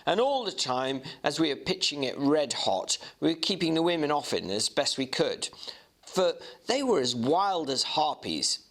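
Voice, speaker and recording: A man playing a worldly Victorian gentleman who recounts a shocking incident with vivid emphasis, in an audiobook. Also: fairly high pitch, big pitch swings, normal speed. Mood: disgusted, heated. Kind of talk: dramatic audiobook reading.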